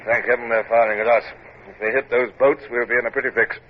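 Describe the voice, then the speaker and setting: A muffled, faintly noisy vintage radio drama recording carries a stern British naval captain, played by a male actor. He speaks in a clipped, formal, commanding manner at an ordinary male pitch. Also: fairly even intonation, fast, lightly acted. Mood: tense. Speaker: lead actor and first-person narrator. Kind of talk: radio drama acting with narration.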